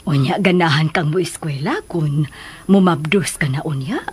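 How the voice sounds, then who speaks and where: A woman acting a middle-aged, motherly confidante who gives calm advice, in a Filipino radio drama with a Filipino accent. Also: low voice, moderate pace, slightly rough. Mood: advising. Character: motherly older confidante, called Ma'am, advising calmly.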